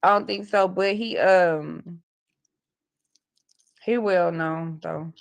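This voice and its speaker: A woman's voice: on the low side, steady tone, takes it slowly, creaky. A woman in her forties hosting a casual livestream, telling rambling, profane stories to her viewers. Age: 40s. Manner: loose, profane, rambling storytelling. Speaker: livestream host. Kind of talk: casual live chat.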